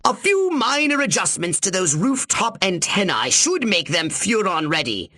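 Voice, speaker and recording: A pompous alien commander from a video game, with a sharp, raspy voice that swoops theatrically. He schemes and scolds with excitable grandeur. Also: high-pitched, moderate pace, dry recording, full character voice. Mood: scheming, smug. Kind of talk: video game character voice lines.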